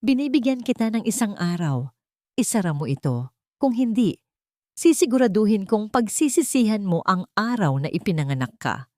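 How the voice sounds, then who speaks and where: A woman's voice: medium pitch, big pitch swings, moderate pace, darkish tone, no room sound, pitched above the performer's own voice, lightly acted. A storyteller woman plays a scornful Filipino matriarch from a drama tale. She is haughty and menacing, sneering at those beneath her, in a dry recording. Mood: threatening. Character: haughty, scornful rich matriarch, cruel mother-in-law.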